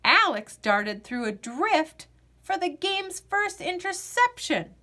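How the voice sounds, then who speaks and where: A woman reading a children's picture book aloud in an expressive storytelling manner. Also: extremely high pitch, slow.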